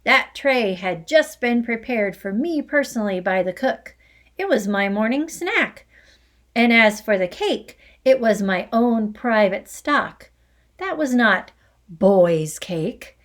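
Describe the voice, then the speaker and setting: A woman voicing a cruel, domineering headmistress who barks and booms insults. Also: expressive, takes it slowly. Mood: shouting furious. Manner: barking, booming insults. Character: bullying hulking headmistress, loud and cruel.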